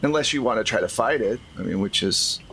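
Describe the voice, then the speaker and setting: A man's voice: fairly low pitch, expressive, a little fast, fairly bright tone, slight background noise. A man running a tabletop roleplaying game, narrating and explaining in a conversational, improvised way. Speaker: game master.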